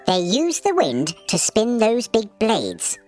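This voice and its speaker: Storybook magical bug, male, little and cheerful: high-pitched, wildly swooping delivery, chirpy explainer, music underneath. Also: wildly swooping pitch, slow pace, exaggerated voice. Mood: chirpy, excited. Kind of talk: children's storybook character voice.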